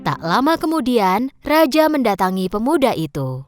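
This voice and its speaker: Woman reading a kids' fairy tale, lilty and animated, music playing under her. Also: on the high side, normal speed. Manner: lilting, expressive storybook telling. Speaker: storyteller. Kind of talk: children's fairy-tale narration. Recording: clear music bed underneath.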